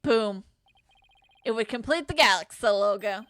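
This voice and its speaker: A woman's voice: medium pitch, unhurried, fairly bright tone, no echo, clean studio sound, lightly acted. A woman reading the lines of an earnest young male defense attorney from a courtroom game, explaining his case step by step. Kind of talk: reading game dialogue aloud.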